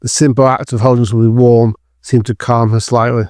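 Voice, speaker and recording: A man's voice: mid-range voice, level tone, brisk, darkish tone, dry recording, clean studio sound. A man reading scripted narration for an audio drama as its narrator.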